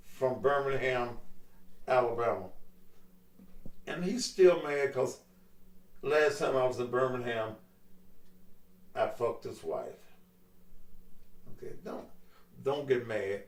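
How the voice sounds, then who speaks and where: A man's voice: medium pitch, expressive, slow, pauses often, echoey room, far from the mic. A middle-aged man hosting a call-in talk show, taunting and mocking a caller in crude language. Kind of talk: heated call-in radio argument.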